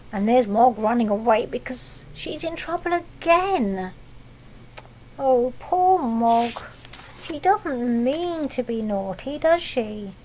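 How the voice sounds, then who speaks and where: British female storyteller, higher-pitched, unhurried, teacherly, explaining things simply for small children; some background noise. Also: darkish tone, poor audio. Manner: simple child-directed talk with tag questions. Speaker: primary school teacher reading a picture book aloud.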